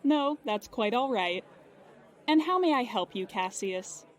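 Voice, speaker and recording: A customer service agent in an audio drama with a notably high voice. She is formal, polite and unflappable, measuring out each phrase as if following procedure. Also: lively intonation, measured pace, some chatter behind. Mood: courteous. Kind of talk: audio drama acting, scripted phone service.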